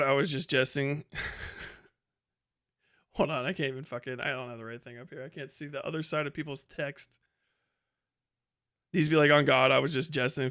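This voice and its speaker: A man chatting casually on a livestream in an animated, enthusiastic, rambling way, swearing freely. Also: medium pitch, clear, resonant tone. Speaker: live streamer.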